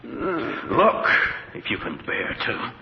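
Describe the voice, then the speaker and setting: An actor playing a grim nobleman in a radio horror drama, speaking in a somber, halting way. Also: mid-range voice, sing-song. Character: grim nobleman, cousin of a murderous countess. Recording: echoey, noisy, dull old radio recording.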